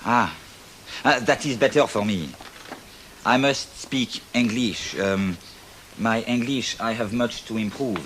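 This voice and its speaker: A halting French visitor. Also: male voice, lowish voice, a bit bright, slight background noise. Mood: polite, halting. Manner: halting formal English, searching for words.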